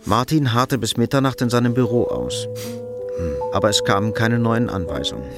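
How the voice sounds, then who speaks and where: A man giving sober, measured narration for a scripted radio drama. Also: mid-range voice, over music.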